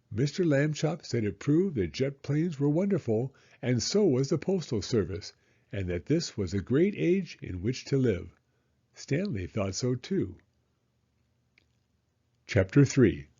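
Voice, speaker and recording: A man reading a children's story aloud as an expressive narrator who acts out the dialogue. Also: medium pitch, breathy edge, dull audio.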